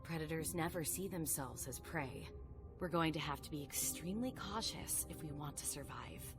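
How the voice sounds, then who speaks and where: A female vampire sire in a video game cutscene, her voice notably deep. She is cynical and guarded yet protective, speaking with dry, emphatic irony over prominent music. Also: lively intonation, normal speed. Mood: guarded, measured. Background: background music.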